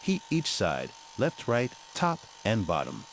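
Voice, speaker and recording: A man with a fairly low voice narrates a hands-on tutorial in careful, step-by-step fashion. His pitch moves around in a lively way as he gives instructions. Some faint noise and clicking sit behind the voice. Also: slow pace.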